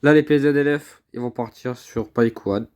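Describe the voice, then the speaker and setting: Young male gaming creator, congested from a cold; excitable, rambling commentary. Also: mid-range voice, normal speed. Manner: excitable, chatty, repeats words, swears under breath. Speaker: mobile game YouTuber. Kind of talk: casual gameplay commentary.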